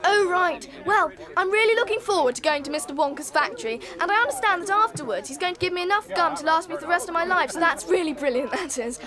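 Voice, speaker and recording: A woman's voice: extremely high pitch, lively intonation, quick pace, hardly any pauses, crowd noise. An actress playing a brash, cheeky, boastful gum-chewing girl in a children's audio drama.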